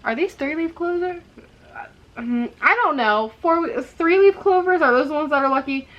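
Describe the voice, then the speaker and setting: A young woman giving a chatty, rambling makeup tutorial, her voice a little hoarse from a cold. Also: high-pitched, moderate pace, highs cut off. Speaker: makeup vlogger.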